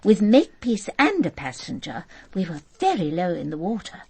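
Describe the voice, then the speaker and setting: A woman's voice: fairly low pitch, very animated, normal speed, a little mellow, husky, full character voice. An actress playing a polite, hesitant woman author giving her account as a witness in a radio drama. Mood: careful, recollecting. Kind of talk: naturalistic radio drama acting.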